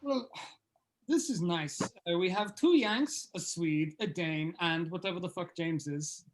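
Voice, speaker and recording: A man's voice: high-pitched, unhurried. Casting: self-important male band frontman for a script read, played by a man, slight background noise. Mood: dry, brisk.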